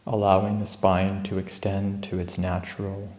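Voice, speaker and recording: A man with a deep voice guides a meditation in gentle, calm instructions. His delivery is even, barely rising or falling, with plenty of space between phrases. The sound is roomy and echoing. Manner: calm, unhurried, gentle instruction with long pauses. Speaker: meditation teacher at a Buddhist monastery. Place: indoor room at a monastery.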